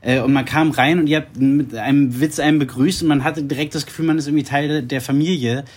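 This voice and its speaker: Male guest, husky and slightly high, casual anecdotal chat with searching phrasing. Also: fairly even intonation, quick pace, a little mellow. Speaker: voice actor guest.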